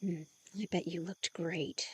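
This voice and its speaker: A woman's voice: lowish voice, fairly even intonation, a little slow, breathy edge, no echo. A woman acting a curious, teasing helper in an intimate audio drama, playful and blunt as she prods for more. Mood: soft teasing.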